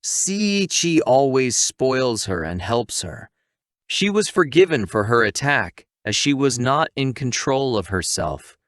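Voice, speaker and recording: A man's voice: medium pitch, sing-song, slow, fairly bright tone, no echo, studio quality. A man narrating a scripted story recap as a channel host, with playful asides and questions to the viewer.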